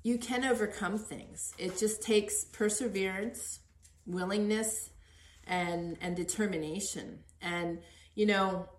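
A woman's voice: mid-range voice, fairly even intonation, takes it slowly, roomy sound, poor audio. A middle-aged woman hosting a casual conversational interview in an encouraging manner. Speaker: podcast host interviewing her son.

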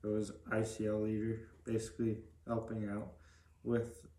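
Young guy, kinda low n monotone, plain spoken interview guest, roomy echo. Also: moderate pace. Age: young adult. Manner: plain-spoken, brief answers. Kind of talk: casual interview answers.

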